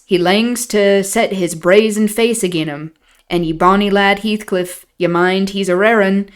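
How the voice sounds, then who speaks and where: A woman voicing a grumbling, pious old male servant, reading his lines slowly in a broad rustic dialect. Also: lowish voice, clean tone.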